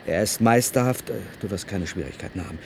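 A man plays a secretive, fearful husband in a radio drama. His low voice is grave and urgent, giving orders dramatically, with faint noise and ambience behind it. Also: brisk, natural voice. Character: anxious, secretive husband in hiding, urgent and commanding. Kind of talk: German radio play acting.

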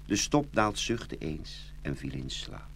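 Male narrator, fairy-tale storytelling, very animated pitch swings, creaky edge, slight background hum. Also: takes it slowly. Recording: hum and steady noise.

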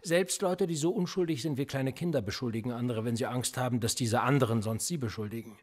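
An actor playing a calm, manipulative male police interrogator in a radio play, pressing with pointed questions. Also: mid-range voice, fast talker, lightly acted. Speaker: police officer.

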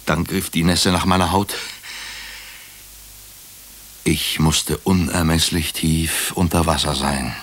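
A man playing a nervous doctor who narrates his own night-time ordeal in a suspenseful, deliberate way for a radio crime drama. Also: very deep voice, fairly even intonation. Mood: frantic, alarmed, then dread.

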